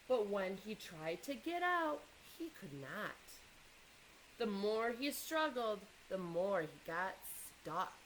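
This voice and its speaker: Animated, slow-paced woman reading to children. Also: fairly high pitch, a little hiss or hum, rough recording. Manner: expressive, engages listeners with questions and asides. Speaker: storyteller reading a children's picture book. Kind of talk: animated read-aloud to young children.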